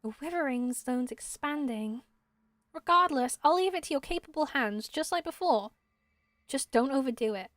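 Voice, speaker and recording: Woman voicing high-pitched, earnest young forest ranger. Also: expressive, normal speed, fairly bright tone, no echo. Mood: concerned. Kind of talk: video game dialogue voice-over. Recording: music behind the voice.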